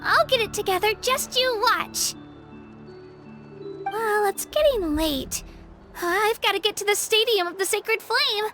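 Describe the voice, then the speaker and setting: A woman's voice: very high voice, animated, a little slow, long pauses, sharp tone, heavily acted. A woman voicing an earnest, polite young warrior girl in a video game, eager and a little hesitant. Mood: bright, eager. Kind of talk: English video game voice acting. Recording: clean voice over game music.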